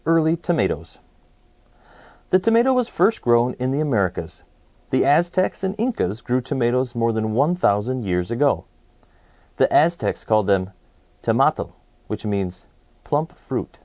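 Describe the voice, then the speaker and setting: A man hosting a children's read-aloud, presenting an educational book in clear, simple phrases for young listeners. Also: medium pitch, darkish tone, no room sound.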